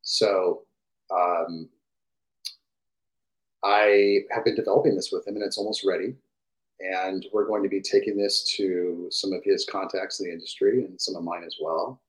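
A middle-aged man with a fairly deep voice, chatting enthusiastically as a podcast guest through a gaming headset, with fillers and restarts. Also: fairly even intonation, moderate pace, poor audio. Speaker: guest, game industry executive. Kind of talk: casual podcast chat.

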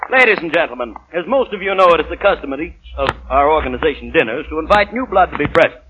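Banquet toastmaster, radio play: high-pitched, courteous, ceremonious, over noisy vintage broadcast sound. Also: male voice, animated, fast, bright, forceful tone, dull audio. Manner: ceremonious, formal. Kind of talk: 1940s radio acting, formal announcing.

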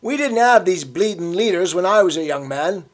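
A man's voice: high-pitched, animated, moderate pace, pitched above the performer's own voice. A man voicing a stubborn, grumbling old London working man, dropping his aitches. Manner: querulous, pugnacious, dropped aitches.

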